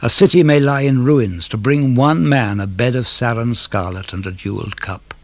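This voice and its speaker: A man gives a measured, declamatory dramatic reading of classical poetry for radio. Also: medium pitch, darkish tone, dry recording. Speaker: poetry reader.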